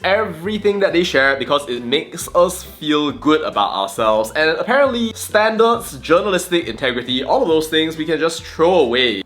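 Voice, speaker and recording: A Malaysian English teacher with a Malaysian English accent, giving sarcastic vlog commentary with mocking swings in pitch. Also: male voice, fairly bright tone.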